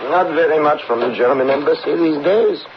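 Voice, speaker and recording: An actor as a reserved messenger, muffled recording. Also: male voice, on the high side, a little fast, natural voice. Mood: matter-of-fact. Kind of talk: old-time radio drama acting. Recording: noisy muffled old radio recording.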